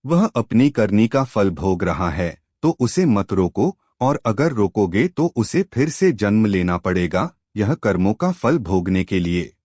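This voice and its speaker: A man voicing a calm elderly sage who admonishes his disciple in a measured way. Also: lowish voice, dry recording, studio quality. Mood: explaining. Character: calm elderly male sage, patient and stern.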